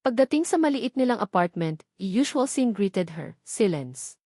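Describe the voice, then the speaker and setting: A woman's voice: mid-range voice, very animated, normal speed, dark tone, no echo, studio quality. A woman with a Filipino accent narrating a scripted dramatic story in an emotive storytelling manner.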